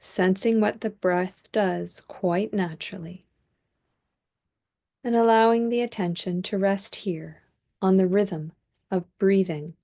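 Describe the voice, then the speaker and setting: A woman leading a guided body scan meditation as a teacher, speaking calmly and in a measured way with long pauses. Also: medium pitch, gravelly.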